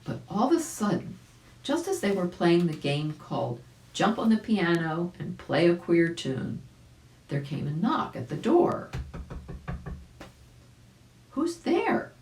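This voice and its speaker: An older woman in her seventies narrates a children's storybook at an unhurried pace. Her voice is low with very lively intonation, recorded in a roomy-sounding room. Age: older, about 70s. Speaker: volunteer storybook reader. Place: small indoor room at home. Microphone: camera a few feet away.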